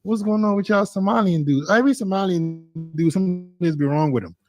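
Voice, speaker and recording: A man's voice: high voice, a little fast, a little mellow, highs cut off, soft background music. A man bluntly questioning someone and giving critical advice in a casual way.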